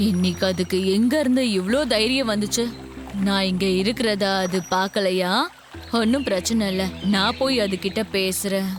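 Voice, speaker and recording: A woman voicing a big, proud, bullying elephant in a children's cartoon, with a haughty, loud-mouthed manner. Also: medium pitch, moderate pace, music in the background, close to an everyday voice. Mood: indignant.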